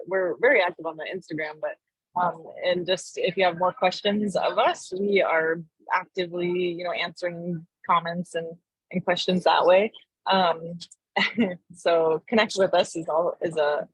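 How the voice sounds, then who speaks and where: A woman in her thirties speaking as a guest researcher on a video call, relaxed and quick to laugh. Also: lowish voice, husky, no room sound, poor audio. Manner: relaxed, laughs easily, some restarts. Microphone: laptop mic on video call.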